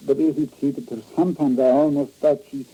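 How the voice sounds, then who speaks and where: An actor playing a greedy, melodramatic foreign male rogue in old-time radio style, pleading and ingratiating in a halting, husky voice. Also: medium pitch, steady tone, warm tone, highs cut off, natural voice. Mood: earnest, begging. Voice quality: husky, breathy. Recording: old radio recording with faint music.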